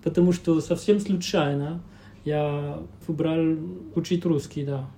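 Belgian guy, french is his first language, hesitant with lots of ums, mellow voice. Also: fairly high pitch, fairly even intonation, a little slow, a little hiss or hum. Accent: French-speaking Belgian. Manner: hesitant, searching for words with many fillers. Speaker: guest. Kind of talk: casual interview talk.

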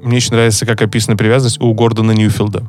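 Non-native guy, lowish voice, halting jokey podcast chat, faint music behind. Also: little pitch movement, darkish tone. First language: not Russian. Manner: hesitant, many fillers and restarts, joking. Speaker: podcast co-host, psychologist. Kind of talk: casual chat.

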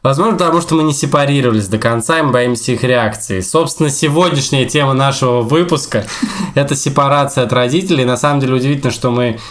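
A man's voice: mid-range voice, fast talker. Podcast co-host, male, late twenties, mid-range voice, informal conversational delivery. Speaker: podcast co-host, teacher and young historian. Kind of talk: casual group podcast chat.

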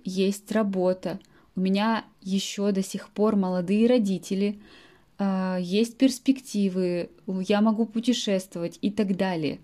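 Mellow-voiced woman explaining slowly to learners. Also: mid-range voice. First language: Russian. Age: late 20s. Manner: explains words in simple terms, with frequent soft fillers. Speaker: Russian language teacher. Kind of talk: podcast monologue for language learners.

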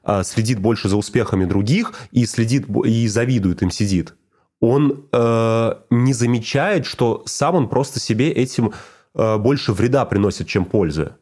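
A man's voice: mid-range voice, normal speed, darkish tone. A man talking casually and reflectively, often repeating phrases for emphasis. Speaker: teacher of speech exercises.

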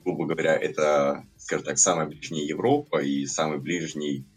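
A young man from Latvia speaks as a podcast guest, his voice extremely deep with a husky grain. He rambles loosely, padding his points with hedges and fillers. It's an easy, casual chat. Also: moderate pace, soft background music.

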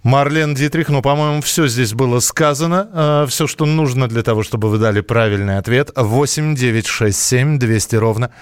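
A man's voice: medium pitch, moderate pace, few pauses, clean tone, no echo, studio quality. A man co-hosting a live radio quiz in a chatty way, carefully reading out numbers digit by digit. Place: close dry setup for a home radio broadcast.

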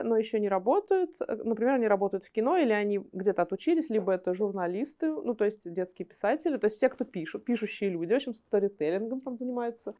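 A woman's voice: medium pitch, dark tone, muffled recording. A woman being interviewed as a guest, explaining things conversationally and often rephrasing herself mid-sentence. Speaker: interview guest from an animation studio.

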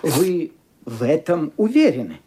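An actor playing a fussy, polite family lawyer in a radio play, with a husky voice and halting, formal delivery. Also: male voice, on the high side, very animated, dark tone, heavily acted. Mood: probing.

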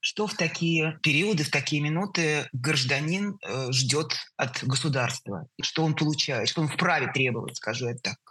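A woman's voice: sits low, moderate pace, few pauses, fairly bright tone, breathy edge. A woman hosting a podcast who asks probing questions, hesitating and searching for her words. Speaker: podcast host. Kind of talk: interview questions.

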